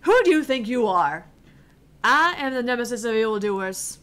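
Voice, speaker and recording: Casting: fiery young gamer heroine, played by a woman, bright forceful voice, highish, theatrical and righteous, visual novel game. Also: lively intonation, moderate pace. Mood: furious, indignant. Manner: theatrical, indignant, foul-mouthed. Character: brash, fiery young female pro gamer, theatrical champion of justice.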